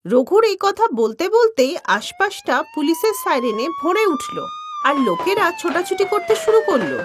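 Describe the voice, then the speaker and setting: Very high-voiced Bengali woman narrator. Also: wildly swooping pitch, normal speed, slight background noise. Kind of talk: scripted storybook narration.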